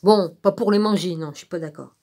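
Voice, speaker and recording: A woman's voice: on the low side, brisk, dark tone. A woman on a rambling informal rant, blunt and sarcastic, with crude colloquial phrasing and frequent rhetorical tags. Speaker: vlogger.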